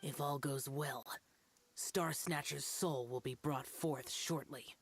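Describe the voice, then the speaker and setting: An actress playing a stern, formal master of an ancient ritual art, instructing in measured tones in a video game. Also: female voice, extremely low pitch, steady tone, breathy edge, dry recording, faint music behind, exaggerated voice.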